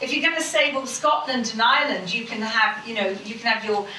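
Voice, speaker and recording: Woman ranting passionately on a panel, bright higher voice, echoey hall heard from far off. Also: fairly quick, a little hiss or hum. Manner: emphatic, rambling, swears for emphasis. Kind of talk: impassioned public panel speech. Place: conference hall. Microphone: distant mic in a room.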